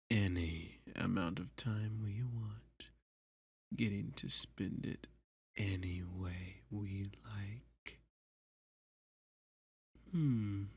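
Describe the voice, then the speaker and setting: A man playing a dominant, flirty, possessive villain kidnapper in an ASMR roleplay, teasing and seductive, with a breathy, husky voice that often drops to a whisper. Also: on the low side, dry recording, heavily acted. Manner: slow, teasing, seductive, with pet names. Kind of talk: ASMR roleplay addressed to the listener.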